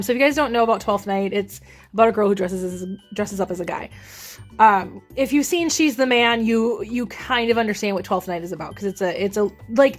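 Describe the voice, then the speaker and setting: A young woman chats away in a loose, rambling vlog style. She fills pauses with ums and corrects herself as she goes. Her pitch sits in an ordinary middle range, and soft music plays faintly behind her. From Minnesota, USA. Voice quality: deep voice. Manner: rambling, chatty, many ums and self-corrections. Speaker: vlogger. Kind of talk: casual chat.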